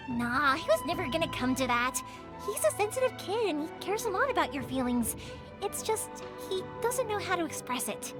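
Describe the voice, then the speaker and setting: A woman's voice: very high-pitched, big pitch swings, moderate pace. A woman voicing a cheerful, talkative young fireworks maker in a game dub, eager to help everyone. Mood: warm. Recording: game audio with music behind.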